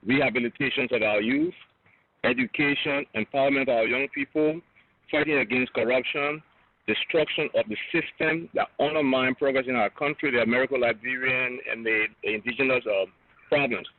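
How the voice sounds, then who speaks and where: A man's voice: medium pitch, a little fast, dry recording. A man calling into a radio show, speaking earnestly and emphatically as he commends the guest. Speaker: caller. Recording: tinny phone line.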